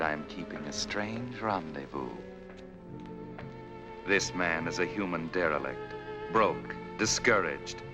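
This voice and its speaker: An actor playing a sinister, all-knowing narrator of dark tales in a 1940s film noir, speaking in a measured, ironic manner. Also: male voice, deep voice. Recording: vintage 1940s film soundtrack. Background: music.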